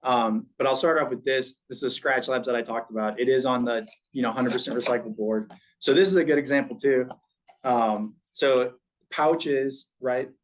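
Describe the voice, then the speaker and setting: A man from a packaging company giving a loose, casual talk to a live audience in an event room, showing examples as he talks, with many fillers and restarts. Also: medium pitch. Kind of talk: live panel presentation.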